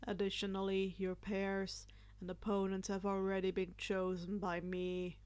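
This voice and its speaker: A woman voicing a stern male teacher in a storybook, measured and deadpan. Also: medium pitch, clean tone, voice pushed down, lightly acted. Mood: stern, flat.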